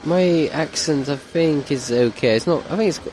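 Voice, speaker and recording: A man's voice: fairly high pitch, moderate pace, darkish tone, a little hiss or hum, low-quality recording. A man with a Bristol accent, a guest on a casual chat podcast, easygoing and a little hesitant. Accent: Bristol English. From Bristol, England.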